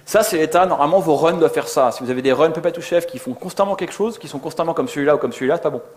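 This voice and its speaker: Fast-talking man giving an informal tech talk. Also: on the high side, a little mellow. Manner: slangy, conversational, acts out little dialogues. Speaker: conference co-speaker, software developer. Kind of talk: informal technical conference talk.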